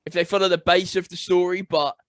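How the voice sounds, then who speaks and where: A man's voice: high-pitched, flat delivery, quick pace, no echo. A man co-hosting a podcast in casual chat, stating blunt, emphatic opinions. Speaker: podcast co-host.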